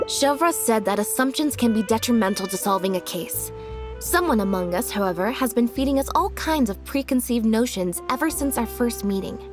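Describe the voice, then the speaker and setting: A woman playing a composed, sharply logical detective in a video game, explaining in a measured way. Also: on the high side, animated, close to an everyday voice. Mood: pointed. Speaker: detective character. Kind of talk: video game story voice acting. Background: faint music.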